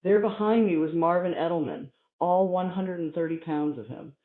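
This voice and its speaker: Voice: medium pitch, normal speed. A person reading a humorous first-person story aloud as scripted narration. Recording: echoey room, thin phone-like sound.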